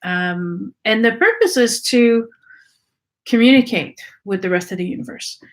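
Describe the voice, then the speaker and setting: A woman's voice: on the high side, a little slow. Woman teaching, hesitant. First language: French. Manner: searching, many ums and restarts. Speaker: energy work teacher. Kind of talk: unscripted teaching talk.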